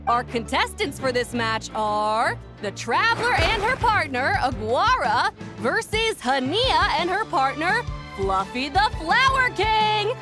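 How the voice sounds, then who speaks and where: Very high showy game arena announcer, formal, slow and swoopy, music behind. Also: female voice, big pitch swings, exaggerated voice. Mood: proclaiming. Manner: proclaiming, formal. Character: showy female tournament announcer, brisk and ceremonious. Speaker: tournament announcer. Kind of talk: video game voice acting.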